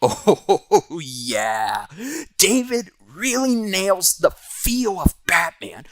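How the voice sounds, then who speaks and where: A man's voice: high-pitched, sing-song, drawn-out words, no echo. A man hosting a comic book review, giving enthusiastic, semi-scripted commentary.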